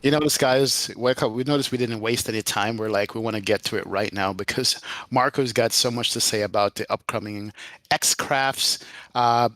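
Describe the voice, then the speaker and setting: A man's voice: mid-range voice, normal speed, a bit bright, no room sound. A man co-hosting a casual podcast, talking in a friendly, chatty way. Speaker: co-host.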